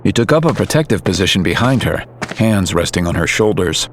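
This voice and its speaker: A man narrating a story in a calm, measured, scripted way. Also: deep voice, a little hiss or hum. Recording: music under narration.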